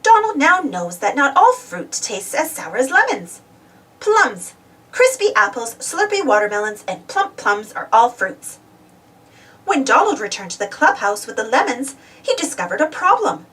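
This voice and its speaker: High, very animated woman reading a storybook. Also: a little slow, far from the mic. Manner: expressive, simple delivery for young children. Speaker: storybook reader. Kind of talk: scripted read-aloud children's storybook narration.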